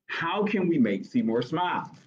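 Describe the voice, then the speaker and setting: A man's voice: a little high, very animated, normal speed, slight background noise, pitched above the performer's own voice. A man voicing an earnest, grateful young male cartoon cat from a children's storybook, with a heartfelt reading-aloud delivery. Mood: eager, caring. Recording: dull webcam audio.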